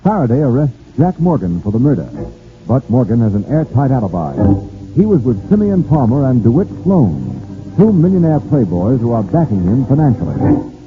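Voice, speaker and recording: A man's voice: medium pitch, sing-song, moderate pace. A man narrating as a dramatic, scripted 1940s radio announcer. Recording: tinny 1940s radio recording. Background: music.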